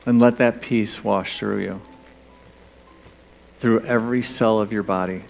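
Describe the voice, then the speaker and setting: A man leading a guided meditation, speaking gently and soothingly in short, spaced phrases with pauses. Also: fairly low pitch, fairly even intonation, vocal fry, low-quality recording. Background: background music.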